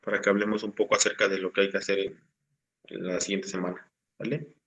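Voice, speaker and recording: A man's voice: sits low, flat delivery, quick pace, a bit bright. A man, patiently explaining assignments to his class over a video call. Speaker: teacher. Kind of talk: online class instruction.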